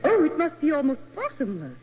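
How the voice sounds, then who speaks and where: A woman's voice: sits high, wildly swooping pitch, a little fast, slight background noise. An actress playing a nervous, fretful, easily frightened English lady tourist in an old-time radio drama. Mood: alarmed. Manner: nervous, fluttery. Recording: thin phone-like old radio recording.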